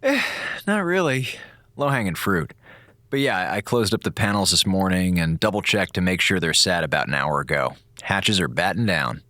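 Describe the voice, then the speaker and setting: A man's voice: low-pitched, sing-song, normal speed, sharp tone, dry recording, clean studio sound, heavily acted. A man playing a laid-back, sarcastic communications officer in a space audio drama, with a wry, slangy, theatrical delivery. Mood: flippant. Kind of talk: audio drama acting, log narration. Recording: music under the voice.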